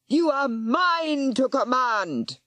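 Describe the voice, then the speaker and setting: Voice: medium pitch, sing-song, very slow, dry recording, voice pushed up. A narrator voicing a cruel male warlock who growls and snarls his threats. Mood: spiteful.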